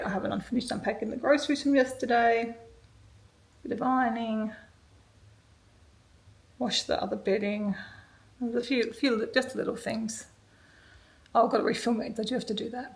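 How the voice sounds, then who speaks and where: A middle-aged woman with an Australian accent talks through her day in a rambling, easygoing way. Her voice sits fairly high and is a little croaky, and she breaks into soft laughs as she thinks aloud in her kitchen. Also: fairly even intonation, brisk, long pauses. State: slightly croaky voice. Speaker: vlogger. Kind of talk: casual day-in-the-life vlog narration. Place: home kitchen.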